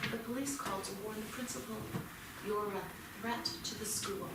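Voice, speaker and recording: A woman's voice: medium pitch, normal speed, close to an everyday voice. An actress playing a stern, worried mother of a teenage son in a staged play reading. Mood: tense, reproachful. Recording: echoey theatre, distant mic, clear noise.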